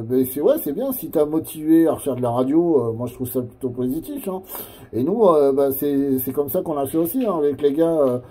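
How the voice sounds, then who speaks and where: Mellow, halting man in casual radio chat. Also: medium pitch. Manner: hesitant, halting, repeats phrases. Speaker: amateur CB radio operator.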